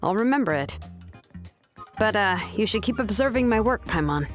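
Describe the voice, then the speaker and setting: An actress plays a young woman who forges names in a video game. She is matter-of-fact and quietly sure of herself, explaining her craft in measured phrases. Her pitch moves in a lively way over faint music. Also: close to an everyday voice. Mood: amused, curious.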